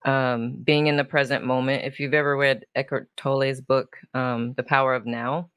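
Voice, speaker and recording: A woman hosting a casual interview show, effusive in her manner and quick to laugh. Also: rumbling low, level tone, a bit bright, clean tone, no room sound, clean studio sound. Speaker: show host. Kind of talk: warm casual interview chat.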